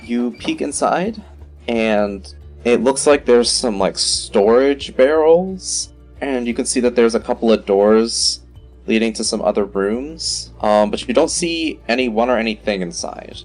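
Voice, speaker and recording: A man's voice: mid-range voice, lively intonation, unhurried, a little mellow. A man running a tabletop fantasy game as game master, describing scenes in a conversational way. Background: music.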